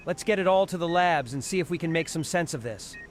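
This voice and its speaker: A man's voice: fairly high pitch, lively intonation, quick pace, a little hiss or hum, lightly acted. A man playing a methodical, authoritative hospital doctor who gives clipped instructions in a horror audio drama. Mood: grave.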